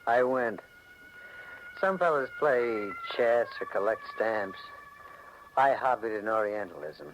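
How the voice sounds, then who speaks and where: A man's voice: on the low side, animated, takes it slowly. An actor playing an obsessive, stubborn, haunted married man, telling his story in intense, melodramatic 1940s radio style. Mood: brooding, bitter. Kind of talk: 1940s radio drama acting, confessional narration. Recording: noisy 1940s radio recording.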